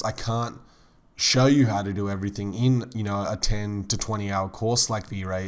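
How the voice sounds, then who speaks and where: Guy a bit hoarse, kinda low voice, laid-back rambly tutorial talk. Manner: relaxed, rambling, many fillers like "kind of". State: slightly hoarse, losing his voice. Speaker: 3D modeling instructor. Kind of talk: casual tutorial narration.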